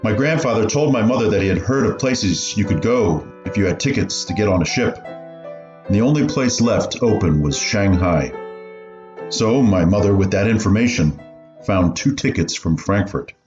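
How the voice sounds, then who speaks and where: A man playing an elderly refugee recounting his family's story in a radio play, deliberate and earnest. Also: fairly low pitch, expressive, close to an everyday voice. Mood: reflective. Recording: roomy, dull sound, piano underneath.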